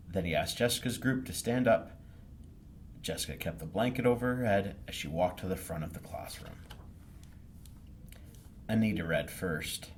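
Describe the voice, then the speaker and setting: A man reading a children's story aloud as an expressive storytime narrator, with faint noise in the recording. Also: low-pitched. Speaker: library storytime reader.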